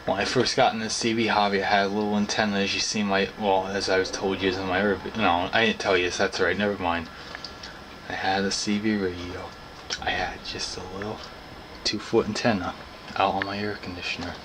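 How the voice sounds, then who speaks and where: Low-voiced young man, noisy recording. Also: flat delivery, fairly quick. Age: young adult. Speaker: hobbyist vlogger. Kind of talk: casual explanatory talk to camera. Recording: clearly noisy background ambience.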